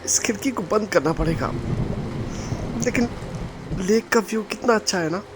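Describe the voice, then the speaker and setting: A woman's voice: medium pitch, normal speed, noisy background, natural voice. A woman with an Indian accent playing an eager, inquisitive young bride in an audio drama. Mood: puzzled, concerned. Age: young adult. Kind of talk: Hindi audio drama acting.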